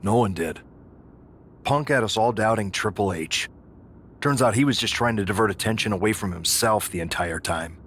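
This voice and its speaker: A man's voice: lowish voice, normal speed, fairly bright tone, a little hiss or hum, close to an everyday voice. A man playing a serious, supportive wrestling mentor in a video game cutscene. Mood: grave.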